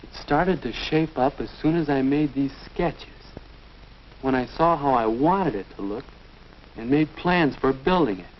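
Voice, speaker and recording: A man's voice: medium pitch, a little hiss or hum, close to an everyday voice. A young American man acting as an earnest teenage boy thinking aloud in a 1950s educational film. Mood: pleased, realizing. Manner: deliberate, self-questioning, earnest. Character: earnest teenage boy working out his future. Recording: dull vintage 1950s film soundtrack.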